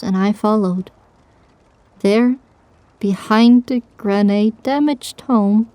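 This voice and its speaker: A woman playing a determined young female pony who tells her story with grief, in an emotional, halting, drawn-out delivery for an audio drama. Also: mid-range voice, darkish tone, close to an everyday voice. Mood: somber, determined. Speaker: narrator. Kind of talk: first-person dramatic audiobook narration.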